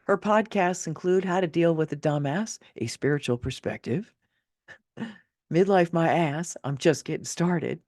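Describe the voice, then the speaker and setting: A woman's voice: sits low, unhurried, darkish tone, no room sound. Woman author, middle-aged, narrating her essay with emphatic motivational punch; close, dry recording. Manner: emphatic, theatrical, motivational delivery. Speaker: author reading own essay. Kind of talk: expressive scripted narration of a personal essay.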